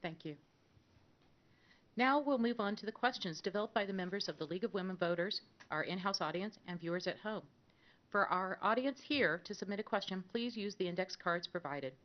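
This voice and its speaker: A woman moderating a public candidate forum, reading out rules and introducing candidates in a measured announcing way. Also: mid-range voice. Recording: dull lo-fi broadcast audio.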